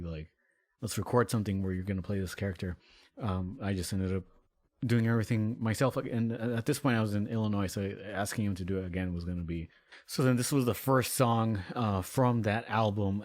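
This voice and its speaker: A man with a low voice talks casually about how he made his video, rambling with plenty of fillers. The sound is dry and close. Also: a little mellow, no echo. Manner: rambling, many fillers, laughs easily. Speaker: musician and video maker.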